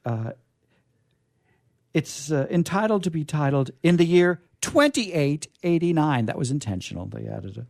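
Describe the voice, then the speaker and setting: A man with a mellow, relaxed voice hosts a readings show. He talks off the cuff in a halting, rambling way, dropping in jokey asides. The recording is dry, with hardly any room sound. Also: on the high side, gravelly, no echo. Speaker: host and reader of a radio players' show. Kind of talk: chatty, off-the-cuff introduction.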